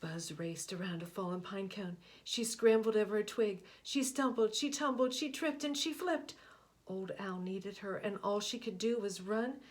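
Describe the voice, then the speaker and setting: A woman reading a picture book aloud, expressive, often dropping to a hushed whisper. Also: mid-range voice. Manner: expressive, slow, hushed asides. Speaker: storybook reader.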